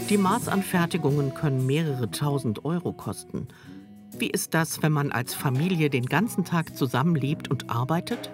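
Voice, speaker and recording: Lively female feature narrator over guitar music. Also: deep voice, moderate pace. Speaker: TV report narrator. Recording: music bed under the voice.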